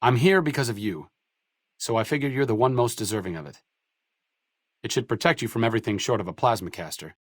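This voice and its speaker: A male actor voices an idealistic, educated wasteland doctor for a video game. He speaks at a middling pitch in articulate sentences with a dry, self-deprecating wit. The sound is close and dry, with no room on it. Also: quick pace, dry recording, natural voice. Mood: earnest. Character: wry, educated, idealistic doctor-researcher in a post-apocalyptic wasteland. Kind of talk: video game companion dialogue.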